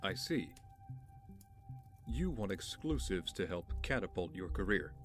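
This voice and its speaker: A man playing an aloof, wry young billionaire in an audio drama, speaking in a dry, measured, haughty way. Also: deep voice, very animated, a bit bright, soft background music, heavily acted. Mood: reflective, wry.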